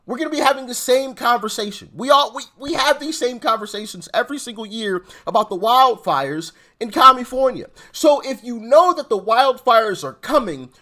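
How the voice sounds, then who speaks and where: A man's voice: very high-pitched, animated, moderate pace, few pauses, bright, forceful tone. A man hosting a casual political commentary show, speaking emphatically and sarcastically and often repeating himself for effect.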